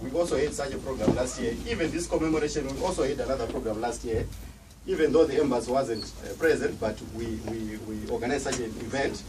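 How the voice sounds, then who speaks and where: A man's voice: medium pitch, fast talker. A man giving closing remarks at an event, hesitant, with many fillers. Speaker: event organizer. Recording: distant mic, clear background noise.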